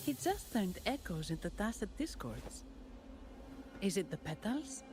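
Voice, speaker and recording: A woman's voice: medium pitch, very animated, unhurried, over music. A woman playing a composed, haughty noblewoman investigator in a video game, poised and businesslike. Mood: alert.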